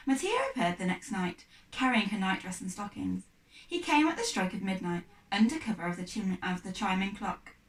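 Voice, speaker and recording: A woman's voice: medium pitch, normal speed. A woman, a teacher, reading a children's novel aloud as narrator. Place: echoey room, away from mic. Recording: echoey room, distant mic.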